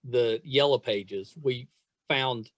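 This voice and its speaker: A middle-aged man hosting a podcast, chatting and telling stories in an excitable, effusive way, repeating phrases for emphasis. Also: medium pitch, slow pace, dry recording. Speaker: podcast host interviewing a guest. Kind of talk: casual chat, storytelling.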